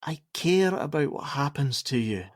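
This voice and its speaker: A man's voice: a little high, lively intonation, normal speed, no room sound, natural voice. Man acting a stern, exasperated social worker, dry sound. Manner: blunt, stern, insistent. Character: curt, exasperated male social worker and father. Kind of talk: naturalistic radio play acting.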